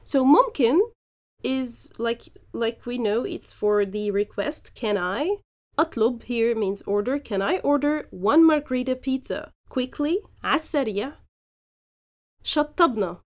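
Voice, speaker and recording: A woman hosting a podcast, teaching phrases slowly and explaining each example in turn. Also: on the high side, lively intonation. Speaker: podcast host and Arabic teacher. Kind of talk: language lesson podcast.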